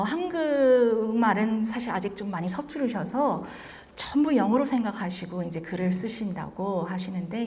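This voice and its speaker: A woman moderates a talk and interprets for the guest. She speaks very fast and fluently in a mid-range voice. A long hall reverb follows her voice. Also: mid-range voice, darkish tone. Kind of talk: interpreting between Korean and English. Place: large hall.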